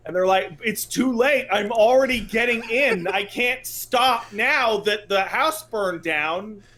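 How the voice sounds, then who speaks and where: Wry man with a higher voice, podcasting. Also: slow, sharp tone. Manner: wry, mocking, acts out imagined quotes. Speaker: podcast co-host. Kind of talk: casual, profane comedic commentary on games.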